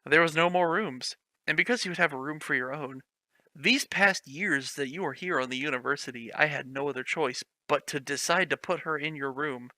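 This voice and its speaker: A young man with a very bright, sharp, slightly high voice reads a fanfic aloud for laughs. He is sarcastic, swears freely and keeps breaking off to mock the writing. It sounds dry and close, with no room sound. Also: brisk, no room sound. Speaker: host reading a fanfic aloud. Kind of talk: comedic reading with commentary.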